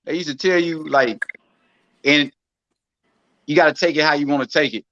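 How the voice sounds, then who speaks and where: A laid-back man on a podcast, his voice bright and forward and pitched a little high. He talks easily about his own path to work and says "man" and "I mean" a lot. The sound is somewhat dull and lo-fi. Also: moderate pace, dry recording. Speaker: podcast co-host. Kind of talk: casual podcast discussion.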